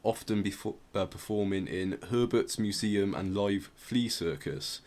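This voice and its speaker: A man hosting a podcast, informally explaining music history in a halting way as he searches for words. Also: fairly low pitch, a little mellow. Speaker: podcast host.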